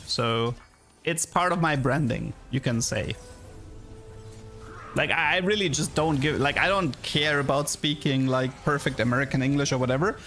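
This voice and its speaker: German guy streaming games, kinda high voice, rambly chatting to viewers, music in the back. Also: bright, forceful tone. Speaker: game streamer. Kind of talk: casual livestream chat with viewers.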